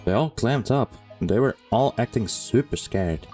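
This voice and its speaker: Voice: medium pitch, expressive, unhurried, over loud music. A game streamer giving casual let's play commentary in a hesitant, rambling way.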